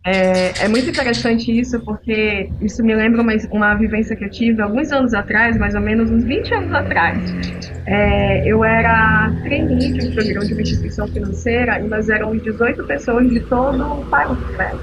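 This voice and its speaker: A woman's voice: on the high side, normal speed, background noise. A woman in her forties from Belém with a Paraense accent, telling stories as a guest in a casual podcast conversation. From Belém, northern Brazil. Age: 40s. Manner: storytelling, animated. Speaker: guest, social entrepreneur.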